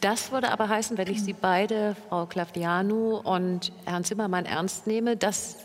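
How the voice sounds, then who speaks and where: A woman's voice: mid-range voice, steady tone. Probing woman moderator in a hall. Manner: probing, measured questions. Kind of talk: moderating a panel discussion.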